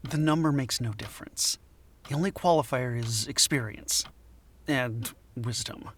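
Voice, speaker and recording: A man acting a troubled middle-aged anthropology professor in an audio drama, guarded and halting. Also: medium pitch, slight background noise, close to an everyday voice. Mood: guarded, defeated. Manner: hesitant, halting, defensive. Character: troubled forty-year-old male anthropologist professor, guarded, haunted.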